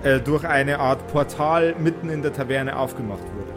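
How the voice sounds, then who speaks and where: A man, narrating a tabletop role-playing game as game master, often halting and repeating words as he improvises. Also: medium pitch, music in the background.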